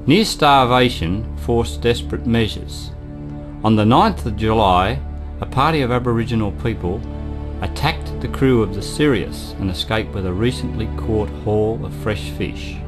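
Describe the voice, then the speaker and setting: Man, scripted historical narration, radio play, mid-range voice, guitar playing behind. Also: medium pitch. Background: guitar music.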